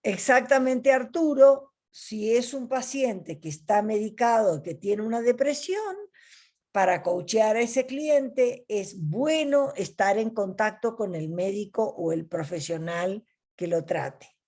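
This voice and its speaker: Slow, mellow Argentine woman teaching. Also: fairly high pitch, animated. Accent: Argentine Spanish. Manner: slow, deliberate teaching with pauses. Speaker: coaching ethics facilitator. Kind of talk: online ethics class.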